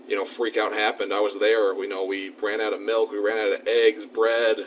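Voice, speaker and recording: A man of twenty-two, a student, reading scripted narration in a measured way and answering questions. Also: fairly low pitch, flat delivery, a little hiss or hum.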